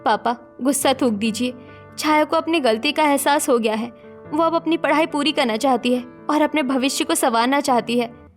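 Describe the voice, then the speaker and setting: A woman's voice: sits high, normal speed, soft background music, close to an everyday voice. A woman voicing a sensible, protective young married elder sister in a cartoon story, firm and admonishing. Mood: persuasive. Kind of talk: Hindi cartoon story dialogue acting.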